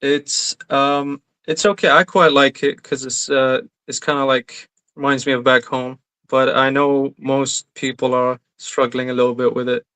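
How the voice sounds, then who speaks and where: A man's voice: mid-range voice, little pitch movement, a little slow, hardly any pauses, pushed and bright, clear, resonant tone. A young man from Iraq, a recent graduate, answering interview questions in a casual, hesitant way with many fillers. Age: young adult.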